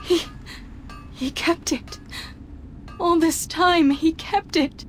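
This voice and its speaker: A woman playing a tender, grieving young sorceress in a fantasy game, with halting, emotional phrasing. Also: extremely high pitch, fairly even intonation, soft background music. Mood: tearful hope. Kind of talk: fantasy game cutscene acting.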